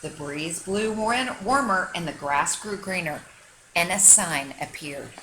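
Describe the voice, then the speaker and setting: Bright-voiced woman reading to young children. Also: on the low side, lively intonation, unhurried, slight background noise, rough recording. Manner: emphatic, teacherly, articulated for young children. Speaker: kindergarten teacher. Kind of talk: expressive children's story read-aloud.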